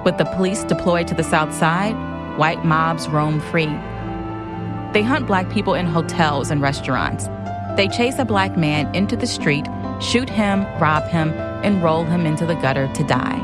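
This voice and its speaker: A woman's voice: low-pitched, normal speed. A woman narrating history in a scripted, dramatic documentary style. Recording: loud music bed under narration.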